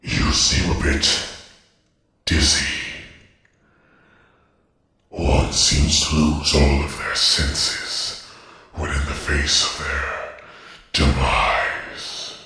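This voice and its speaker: A man voicing a massive, scaly dragon, menacing and mocking, speaking deliberately in a roleplay ASMR with heavy cavernous echo. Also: a bass voice, flat delivery, pushed and bright, pitched below the performer's own voice, heavily acted.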